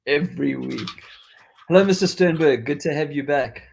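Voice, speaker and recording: Gravelly man with a faded South African accent. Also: fairly high pitch, normal speed, darkish tone, a little hiss or hum. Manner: chatty, rambling with frequent restarts. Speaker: podcast host. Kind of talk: casual tech chat.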